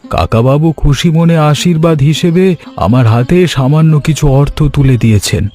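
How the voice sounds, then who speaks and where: A man voices a humble cartoon farmer, earnest and respectful. His voice is fairly high and very soft-edged and mellow, with faint music behind it. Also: lively intonation, moderate pace, lightly acted. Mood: sincere. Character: earnest, humble male village farmer and husband. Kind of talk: Bengali cartoon voice acting.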